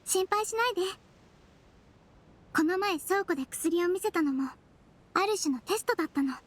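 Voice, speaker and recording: A woman voicing a small, composed, kindly nonhuman head nurse in an anime-style game, gentle and matter-of-fact. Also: very high voice, animated, moderate pace. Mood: reassuring.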